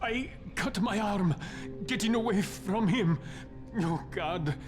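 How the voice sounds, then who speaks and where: Injured survivor, high voice, slow halting delivery, breathless, anguished, in pain. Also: male voice, animated, music in the background. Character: anguished, wounded male survivor. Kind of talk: video game voice acting.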